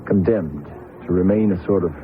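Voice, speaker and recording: Tormented young misfit male actor, radio drama. Introspective and earnest, lowish voice, narrow vintage broadcast sound with music behind. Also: lightly acted. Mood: anguished, lonely. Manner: earnest, introspective, halting when pleading. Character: very tall, lonely, tormented young man, feels alien. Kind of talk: radio drama acting, first-person narration and dialogue. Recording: tinny old radio broadcast recording.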